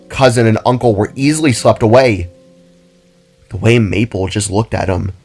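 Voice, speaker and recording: A man's voice: medium pitch, a little mellow. A man narrating a dramatic scripted story as its storyteller. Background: music.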